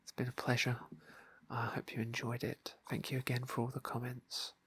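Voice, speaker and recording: A man speaking in a soft, breathy hush, often near a whisper, narrating an ASMR roleplay game playthrough. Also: medium pitch, monotone, moderate pace, no room sound. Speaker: ASMR gaming video host and roleplayer. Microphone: close microphone, dry.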